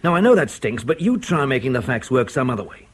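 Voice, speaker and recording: An actor playing a methodical intelligence officer in a radio drama, talking in a colloquial, argumentative way. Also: male voice, medium pitch, sing-song, fast talker, breathy edge. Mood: urgent reasoning. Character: brisk, reasoning male intelligence station officer. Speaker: intelligence officer briefing a colleague.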